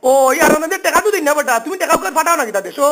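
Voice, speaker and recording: A man's voice: very high voice, lively intonation, rapid-fire, noisy background. A man with a Sylheti accent on a phone call, talking informally in a pleading, complaining, repetitive way. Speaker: phone caller.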